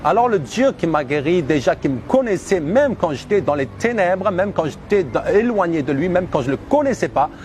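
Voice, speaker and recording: A man in his thirties from Algeria gives a personal religious testimony, speaking earnestly and emphatically in deliberate, repeated phrases. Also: on the high side, slight background noise. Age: 30s.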